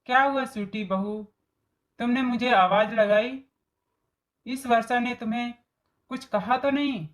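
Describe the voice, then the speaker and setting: A meek old mother-in-law in a storybook drama, performed by a woman. She speaks slowly, with a gentle, plaintive manner. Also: fairly high pitch, natural voice. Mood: worried. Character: meek elderly mother-in-law, worried and remorseful.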